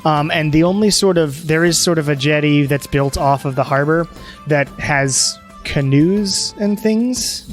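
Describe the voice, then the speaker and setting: A man's voice: fairly high pitch, a little slow. A man running a tabletop game as game master, narrating loosely with many fillers. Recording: faint background music.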